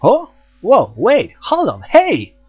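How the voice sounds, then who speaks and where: A man voicing an anxious, scheming magus who speaks with urgent, demanding excitability in an audiobook story. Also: very high voice, takes it slowly, husky, no room sound, lo-fi sound, soft background music, voice pushed up, exaggerated voice. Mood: flustered, urgent. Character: anxious, scheming male magus summoning a servant.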